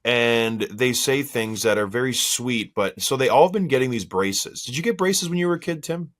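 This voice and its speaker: A man in his forties hosting a hockey podcast, slightly hoarse, telling self-mocking stories in a casual, animated way. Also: mid-range voice, moderate pace. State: a little hoarse. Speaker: podcast host.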